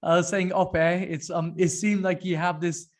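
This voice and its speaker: A man's voice: high voice, level tone, normal speed. A man hosting a podcast interview, upbeat and polished as he introduces his guest. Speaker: podcast host.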